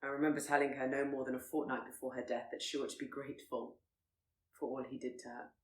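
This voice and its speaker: A woman voicing a proud, reserved and brooding Regency gentleman who speaks formally and gravely. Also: very deep voice, level tone, fast, roomy sound, voice pushed down. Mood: pained, reflective.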